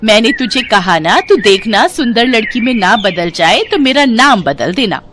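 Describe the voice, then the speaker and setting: A woman's voice: on the high side, big pitch swings, normal speed, noisy background. A woman voicing a frail, wheedling old woman who is really a sly con artist, in a storybook tale, drawling and coaxing.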